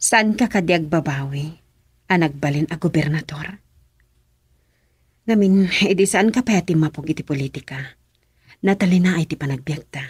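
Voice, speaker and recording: A worried, husky mother. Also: low-pitched, moderate pace, long pauses, a little mellow, dry recording, clean studio sound. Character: concerned mother of the governor, gentle. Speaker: voice actor in radio drama. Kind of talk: Ilocano radio drama acting.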